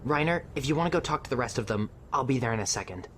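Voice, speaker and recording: A woman's voice: rumbling low, animated, racing. Sympathetic, candid young survivor, played by a woman for an apocalypse radio play; slight noise on the recording. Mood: helpful. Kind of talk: amateur audio drama acting.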